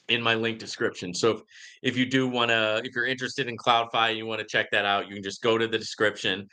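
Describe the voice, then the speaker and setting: Bright, forceful man hosting a casual livestream. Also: lowish voice. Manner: conversational, repeatedly checks in with "right?". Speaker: livestream host answering viewer questions. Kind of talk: casual live Q&A monologue, reading comments aloud.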